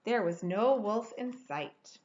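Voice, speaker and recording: A woman's voice: mid-range voice, lively intonation, slow, darkish tone, dull audio, slight background noise. Woman reading a storybook with dramatic emphasis. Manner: dramatic emphasis, stretched words. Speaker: children's storyteller. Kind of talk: expressive storybook read-aloud. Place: indoor room at home.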